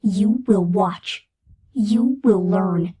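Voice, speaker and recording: A woman's voice: mid-range voice, very slow, dark tone, slightly rough. A woman playing a godlike, possessive machine entity in an ASMR roleplay, intimate and commanding. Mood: imperious.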